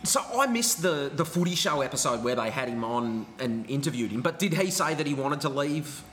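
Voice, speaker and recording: A man's voice: medium pitch, expressive, brisk, a bit bright, a little hiss or hum. An Australian man co-hosting a sports podcast with casual, chatty banter. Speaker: podcast co-host.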